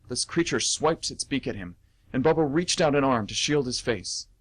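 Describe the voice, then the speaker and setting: A man reading dramatic story narration as the narrator of an amateur radio play. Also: medium pitch.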